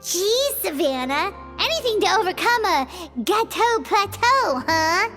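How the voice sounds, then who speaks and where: A woman voicing a small, chatty, easily flustered fairy companion in a video game, with a squeaky childlike voice. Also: very animated, unhurried, full character voice. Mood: exasperated, confused. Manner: exclamatory, speaks of self in third person. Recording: long reverb, music behind.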